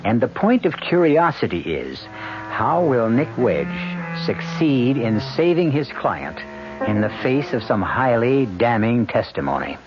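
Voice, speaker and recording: A man hosting a radio mystery drama, giving theatrical, measured scripted narration. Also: medium pitch, big pitch swings. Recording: dull old radio broadcast, music behind.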